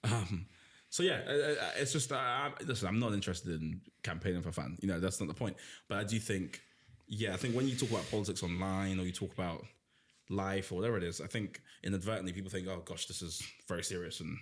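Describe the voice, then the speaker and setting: A man chats on a podcast in a somewhat low voice. He teases his guest, laughs a lot and drops to murmured asides. He sounds relaxed and playful. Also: fast. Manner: teasing, laughs often, drops to quiet asides. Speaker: podcast host answering questions. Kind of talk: casual podcast chat.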